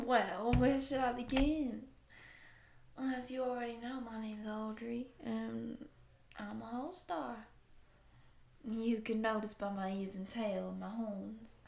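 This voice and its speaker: A woman voicing a tall, gentle, friendly cowgirl monster in her thirties, soft, affectionate and apologetic, in an intimate audio roleplay. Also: on the high side, fairly even intonation, slow pace, creaky, slight background noise. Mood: friendly, explaining. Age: 30s. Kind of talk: intimate roleplay addressed to the listener.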